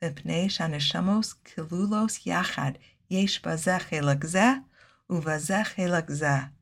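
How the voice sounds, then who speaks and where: A low-voiced woman delivers a scripted Torah lesson. She speaks in a measured, earnest way and drops in Hebrew phrases naturally. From United States. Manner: measured, earnest, with Hebrew and Yiddish-style terms. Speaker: Torah teacher.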